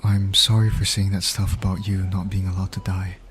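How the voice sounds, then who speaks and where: A man playing a tender, apologetic medic at a bedside, soft-spoken and hesitant, in an ASMR roleplay. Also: sits low, completely flat delivery, moderate pace, faint music behind, natural voice. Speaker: voice actor in an audio roleplay. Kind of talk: intimate ASMR comfort roleplay.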